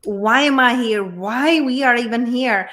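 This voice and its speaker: A woman's voice: fairly high pitch, measured pace, a bit bright. A woman from the Czech Republic with a Czech accent, a guest coach sharing her personal story on a talk show in an earnest, reflective way.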